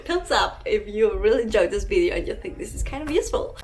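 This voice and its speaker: A woman's voice: mid-range voice, animated, quick pace, darkish tone, faint music behind. Chatty Ecuadorian woman vlogging. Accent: Ecuadorian Spanish. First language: Spanish. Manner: chatty, rambling, frequent fillers and restarts. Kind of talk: casual storytime.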